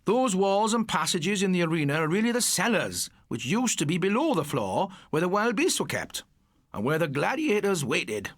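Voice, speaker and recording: A strict, sardonic schoolmaster, acted by a man, instructs with dry sarcasm in a storybook reading. The pitch sits fairly high and moves expressively, in a dead, close recording. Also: sing-song, normal speed, fairly bright tone, no room sound, heavily acted. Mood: stern, instructive. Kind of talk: audiobook character voice.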